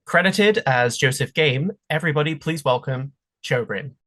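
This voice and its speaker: A man hosting an interview, reading measured introductions and asking questions over a video call. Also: on the high side, expressive, fairly bright tone, no room sound, clean studio sound. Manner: measured, scripted-sounding introductions. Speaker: interview host. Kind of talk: hosted interview.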